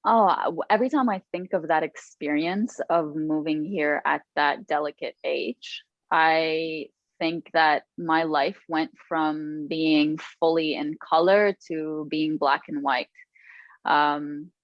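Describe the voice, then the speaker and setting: Lebanese-born woman, low and thoughtful. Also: steady tone, no room sound, lo-fi sound. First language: Arabic. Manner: thoughtful, slow, candid, with restarts. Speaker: guest author. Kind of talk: reflective personal interview.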